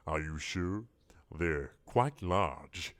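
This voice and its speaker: A man voicing a huge male giant squid, smooth-talking and domineering, in a hushed, persuasive, unhurried way for a comic story. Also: low-pitched, expressive, no room sound, clean studio sound, voice pushed down, full character voice. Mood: commanding.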